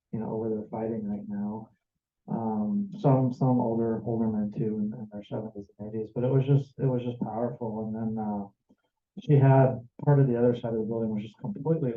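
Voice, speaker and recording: An American man giving an earnest, meandering personal testimony to a church group, sounding a little jet-lagged. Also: lowish voice. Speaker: church member sharing a mission trip report. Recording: tinny, echoey room recording.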